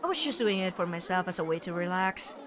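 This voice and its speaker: A woman's voice: on the low side, fast. A woman live streaming a visual novel, reading text aloud and chatting expressively. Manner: expressive, reacts with frequent laughter and exclamations. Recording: noisy stream with game sound behind. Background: game audio and ambience.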